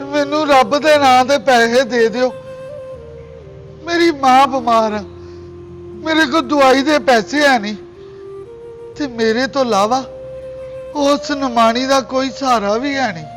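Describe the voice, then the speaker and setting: A man acting a desperate young-sounding beggar and addict, with a very high-pitched voice and a Pakistani Punjabi accent. He pleads insistently, in the manner of a homemade moral drama, over clear background music. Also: unhurried, pauses often, fairly bright tone, rough recording. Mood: pleading. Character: desperate pleading drug addict begging money for sick mother.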